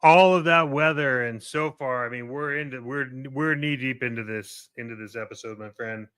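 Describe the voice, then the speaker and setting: A man's voice: medium pitch, normal speed, fairly bright tone, clean tone, dry recording. A man hosting a radio-style podcast in a conversational, salesy way, moving between casual banter and upbeat sponsor reads on a close mic. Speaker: podcast and radio show host.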